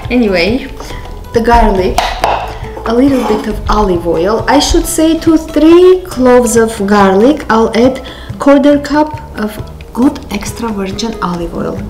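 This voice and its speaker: An Armenian-born woman hosts a home cooking show, her voice sitting a little high. She chats loosely, restarts phrases and laughs at her own slips. The big kitchen adds a roomy echo, and light music plays beneath. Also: lively intonation, a little slow. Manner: chatty, rambling, self-correcting, laughs at herself. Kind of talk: casual cooking demonstration. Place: large bright home kitchen. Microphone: handheld camera close up, then camera on counter.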